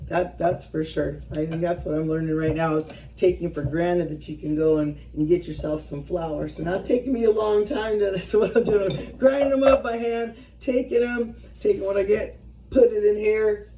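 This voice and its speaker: Woman, fifties, casual vlog chat, rambling and self-deprecating, mellow low-ish tone, heard from a distance off the mic. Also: steady tone, normal speed. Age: 50s. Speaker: vlogger. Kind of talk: casual chat while working by hand.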